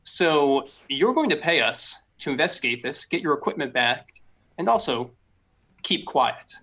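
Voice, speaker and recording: A man's voice: on the high side, very animated, a little slow, sharp tone, full character voice. A man voicing a stern, officious older noblewoman investigator in a tabletop game, commanding and insistent.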